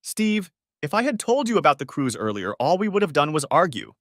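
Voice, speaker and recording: A man's voice: fairly high pitch, sing-song, brisk, no echo, studio quality, voice pushed up. A man voicing an agitated, defensive wife in a dramatic story reading. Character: Carol, agitated defensive wife in a troubled marriage. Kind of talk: audiobook-style dramatic reading of dialogue.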